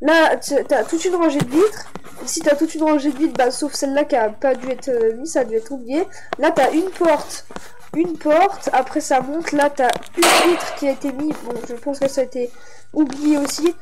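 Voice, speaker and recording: A woman's voice: high-pitched, moderate pace, noisy background. A young woman with a stuffy head cold, rambling through a casual showcase of a game map for her channel, with many fillers and restarts. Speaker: gaming YouTuber.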